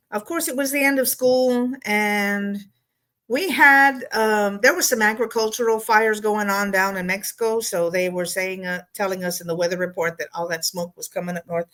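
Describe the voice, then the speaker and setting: A woman in middle age talks straight to camera in a loose, rambling rant. She is blunt and exasperated, swears now and then, and fills her pauses with ums and y'alls. Her pitch sits in an ordinary middle range. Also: a bit bright. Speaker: political vlog host. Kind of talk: casual opinion rant.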